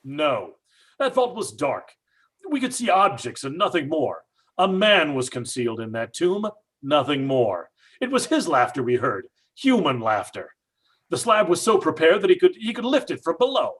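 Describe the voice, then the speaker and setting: Blustering, husky-voiced stubborn father played by a man. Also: a little high, big pitch swings, normal speed, a bit bright, heavily acted. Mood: accusing, certain. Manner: blustering, combative. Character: stubborn, skeptical father, angrily sure of a hoax. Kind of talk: old-time radio horror drama acting.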